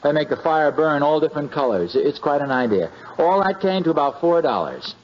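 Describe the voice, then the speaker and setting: A man's voice: on the high side, expressive, brisk, close to an everyday voice. An American man acting a bumbling, easily flustered suburban husband in a 1950s radio comedy, with a hesitant, stammering delivery. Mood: casual, rambling. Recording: muffled, noisy vintage radio recording.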